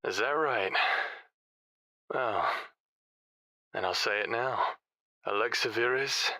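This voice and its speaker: A man's voice: mid-range voice, slow, bright, forceful tone, exaggerated voice. An actor playing a confident, tender shadow operative devoted to his lover in an audio drama.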